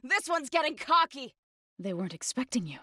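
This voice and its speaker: Intense, bright-voiced young warrior woman. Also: sits high, very animated, fairly quick, no room sound, clean studio sound, full character voice. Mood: grim, determined, then hushed, watchful. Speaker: video game voice actor. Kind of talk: scripted game combat barks and companion lines.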